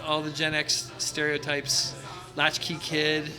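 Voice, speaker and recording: A man in his fifties from New Jersey, telling his life story in a casual interview. He talks in a loud, brash, self-mocking way with lots of restarts. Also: a little high, monotone, soft background music, low-quality recording. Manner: self-described loud and brash, halting with many restarts, self-deprecating humor. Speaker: interview guest.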